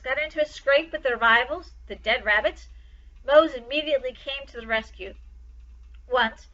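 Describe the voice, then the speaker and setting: Bright-voiced woman reading a story aloud. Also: on the high side, muffled recording. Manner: dramatic, emphatic storytelling. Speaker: storytime reader. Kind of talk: expressive children's story read aloud.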